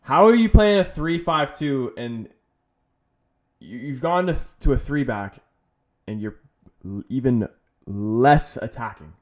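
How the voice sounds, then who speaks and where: A young man from Canada hosting a live football watch-along, with a mid-range voice. He rambles casually with chat and breaks into exasperated, louder outbursts at the play. It sounds like a streamer's desk mic. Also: mid-range voice, expressive, slow, long pauses. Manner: chatty, frustrated, rambling, bursts of loud exasperation. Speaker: livestream watch-along host.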